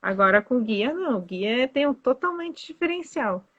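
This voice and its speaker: A woman's voice: fairly high pitch, lively intonation, normal speed, a bit bright. A woman from southern Brazil, hosting a casual live-stream chat as a tour guide, full of fillers. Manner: chatty, many fillers like "né" and "aí". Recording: dull lo-fi live-stream audio.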